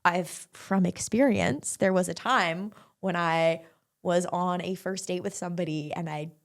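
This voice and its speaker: A young woman, a barre instructor, talking casually as an interview guest, chatty and quick with lots of filler words. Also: lowish voice. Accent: English-speaking, non-French.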